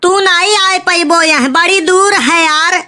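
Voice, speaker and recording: Woman doing a high, whiny Awadhi debtor. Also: steady tone, unhurried, pushed and bright, exaggerated voice. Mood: stalling. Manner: evasive, protesting. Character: evasive, whiny village debtor full of excuses. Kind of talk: exaggerated comic cartoon acting.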